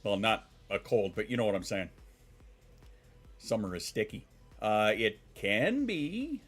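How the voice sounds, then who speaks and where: A congested man with a lowish voice, talking loosely to his stream chat from home. He rambles, swears freely and sniffles between sentences. Soft music plays faintly behind him. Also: big pitch swings, a little slow, husky. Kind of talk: casual live-stream chat.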